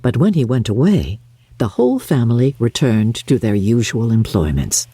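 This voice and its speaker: An older woman with a deep, husky voice reads classic fiction as a measured, theatrical, expressive narrator. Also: no room sound. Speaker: audiobook narrator. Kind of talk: dramatic literary narration.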